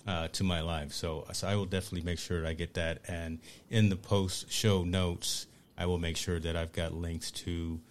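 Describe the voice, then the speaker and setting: A deep-voiced man hosting an interview, eager and upbeat. He talks casually, often stumbling over and repeating his words. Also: flat delivery, moderate pace, few pauses, slight background noise. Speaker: podcast host.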